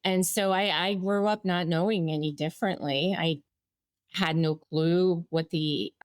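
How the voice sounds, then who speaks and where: Candid woman in her forties, low-voiced. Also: fairly even intonation, a little slow, no room sound, clean studio sound. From Bulgaria. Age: 40s. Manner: reflective, candid, with small laughs and restarts. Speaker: guest. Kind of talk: personal storytelling interview.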